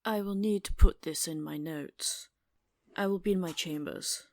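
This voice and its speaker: A woman's voice: lowish voice, moderate pace, no room sound, close to an everyday voice. A woman playing a formal, commanding mistress of a monster-hunting household, giving composed, polite orders in an audio roleplay.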